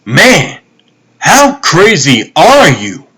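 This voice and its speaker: A wisecracking young agent sidekick, voiced by a man, pitched up and swinging around with indignation. He is sarcastic and exasperated, in comic-book dub style. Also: wildly swooping pitch, extremely slow, bright, forceful tone, breathy edge, exaggerated voice. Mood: outraged.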